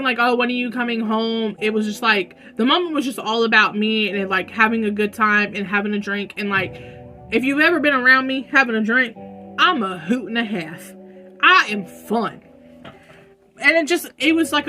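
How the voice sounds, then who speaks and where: A young woman with a loud voice answering viewer questions in a casual vlog, chatty and saying "like" a lot. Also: fairly high pitch. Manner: chatty, says "like" often. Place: room at home with dogs.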